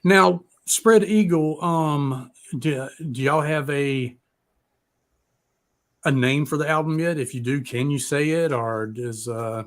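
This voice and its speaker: A man's voice: fairly high pitch, slow pace. A man hosting a casual music talk show, rambling and hesitating as he goes. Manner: rambling, hesitant, filler-heavy phrasing. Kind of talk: casual, unscripted chat.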